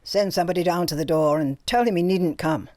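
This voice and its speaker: A woman's voice: lowish voice, fairly quick, a little mellow, no echo, studio quality, close to an everyday voice. A woman voicing a menacing, furious woman who hisses her words. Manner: hissing.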